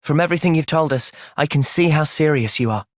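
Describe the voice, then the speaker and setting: A man's voice: fairly high pitch, quick pace, no echo, studio quality, lightly acted. A young man with a British accent playing an earnest, thoughtful, polite and determined young hero in video game cutscenes.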